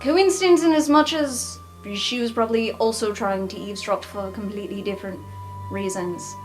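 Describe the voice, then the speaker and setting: A woman's voice: mid-range voice, normal speed, rough recording. A woman playing in an improvised tabletop roleplay, speaking casually and wryly in character. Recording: faint music under the voice.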